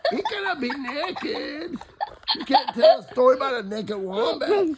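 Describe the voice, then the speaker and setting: Woman as scolding female puppet, high-pitched, husky, slow-paced, blunt, folksy. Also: sing-song, crowd noise. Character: bossy, skeptical female puppet named Ruby. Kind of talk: improvised puppet show.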